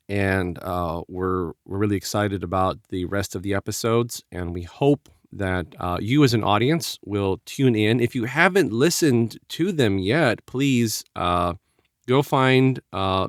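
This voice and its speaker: Low-voiced man in casual podcast discussion. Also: clear, resonant tone, dry recording, studio quality. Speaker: podcast co-host.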